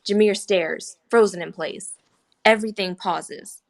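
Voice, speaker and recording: A woman's voice: mid-range voice, a little slow, vocal fry. A woman reading scripted stage directions and scene headings as narrator at a screenplay table read.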